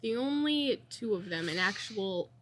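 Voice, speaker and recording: A woman's voice: medium pitch, animated, a little slow, fairly bright tone, a little hiss or hum. A woman chatting casually among friends, tossing in dry, contrarian remarks.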